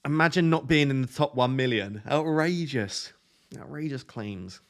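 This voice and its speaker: A young man hosting a fantasy football live stream, reading viewer questions aloud and answering them in a relaxed, soothing voice. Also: medium pitch, moderate pace. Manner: self-described relaxing, soothing voice. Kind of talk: casual live stream chat.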